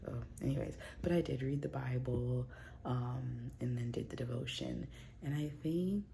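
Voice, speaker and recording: A woman vlogging at home into her phone with a very low, gravelly voice and a mellow, dark tone. She rambles casually with plenty of fillers and restarts, and she sounds a little congested from a recent cold. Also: a little hiss or hum. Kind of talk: casual chatty vlog. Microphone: phone camera.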